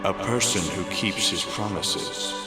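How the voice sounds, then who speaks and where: A grave, regretful old spirit voiced by a man gives counsel in solemn, measured tones. The low voice moves slowly through a wash of echo, with music underneath in an animated-style drama. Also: natural voice. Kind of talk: animated-style comic dub acting. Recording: echoing voice with music behind.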